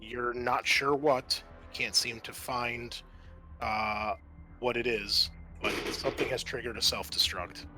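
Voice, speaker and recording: A man with a bright tone narrating a tabletop roleplaying game as game master. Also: mid-range voice, fairly even intonation, unhurried, slight background noise.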